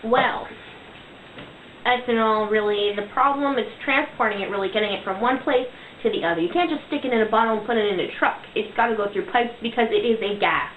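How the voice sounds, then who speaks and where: A young woman playing a cocky high school science whiz in an amateur comic skit, earnest, boastful and rambling. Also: brisk, a little hiss or hum, natural voice. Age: young adult. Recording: echoey, phone-like sound amid crowd chatter.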